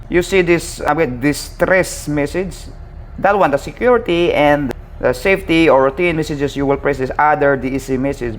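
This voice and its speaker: Higher-pitched man giving a hands-on tutorial. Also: a little hiss or hum. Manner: halting, repetitive, often addressing listeners as guys. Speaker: maritime instructor and channel host. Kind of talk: hands-on tutorial, casual explaining.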